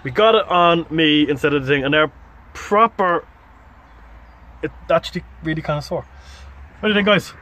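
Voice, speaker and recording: A man in his thirties chatting and bantering casually for a vlog, jokey, sweary and excitable, recorded on a handheld device inside a van. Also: a little high, normal speed, pauses often. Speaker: vlogger. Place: inside a parked camper van cab, door open. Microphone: phone or camera at arm's length. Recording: noisy handheld recording in a vehicle.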